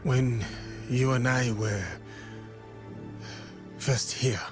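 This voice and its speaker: A man playing a solemn, gentle warrior in a historical game drama, speaking earnestly and in a measured way. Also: medium pitch, fairly even intonation, over music. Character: solemn, gentle, dutiful male warrior in feudal Japan. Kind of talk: restrained dramatic game cutscene acting.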